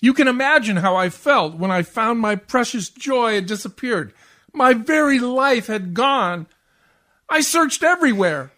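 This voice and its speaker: Casting: man playing a henpecked 1940s radio-comedy husband, weary and sleepy, exasperated, quick with sarcastic wisecracks. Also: extremely high pitch, animated, fairly bright tone, exaggerated voice. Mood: distraught.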